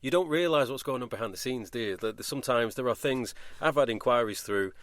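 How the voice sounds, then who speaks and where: A man's voice: mid-range voice, quick pace, fairly bright tone, no echo. A man hosting a podcast in a casual chat, talking in an informal, rambling conversational way. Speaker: podcast host.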